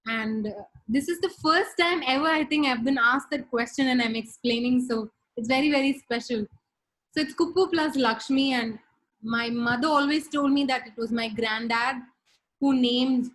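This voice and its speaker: A middle-aged woman from India with an Indian English accent, a native Tamil speaker, talking as a guest in a casual interview, thoughtful and animated with soft hesitations. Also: high-pitched, poor audio. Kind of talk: casual interview on a video call.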